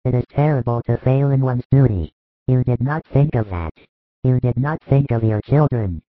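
A man's voice: medium pitch, normal speed, no echo, phone-quality sound, natural voice. A man voicing a stern yet merciful savior, speaking firmly and solemnly in a dramatised scripture story. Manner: firm, solemn, authoritative.

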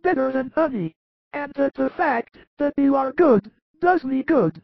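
A man voicing a frail, dying old grandfather, gentle and loving, in a high, thin, trembling voice. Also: animated, measured pace, vocal fry, no room sound, heavily acted. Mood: tender. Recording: dull lo-fi dry recording.

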